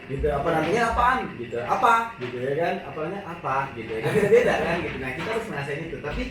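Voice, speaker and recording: Minang-accented man telling animated stories. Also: on the high side, very fast, a loud crowd. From West Sumatra, Indonesia (Padang). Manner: animated storytelling in slangy speech. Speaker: guest, actor and radio host. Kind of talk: casual chat. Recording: echoey room, far from the mic, with music.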